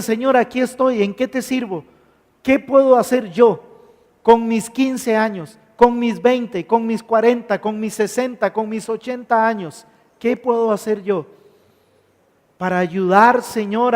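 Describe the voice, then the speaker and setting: A man preaching a sermon, emphatic, stacking repeated phrases. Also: high voice. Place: church hall. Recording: long hall reverb.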